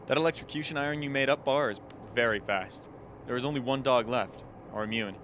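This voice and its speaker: An actor playing a logical, level-headed scientist in a radio play, matter-of-fact and grimly ironic. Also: male voice, medium pitch, a little fast, fairly bright tone, a little hiss or hum, lightly acted.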